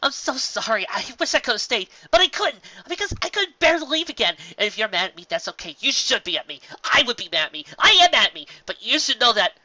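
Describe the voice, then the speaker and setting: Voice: medium pitch, big pitch swings, fast talker, hardly any pauses, pushed and bright, no room sound, highs cut off, pitched above the performer's own voice. A reader voicing a brash, emotional, blurting female pegasus in a fan fiction story. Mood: distraught.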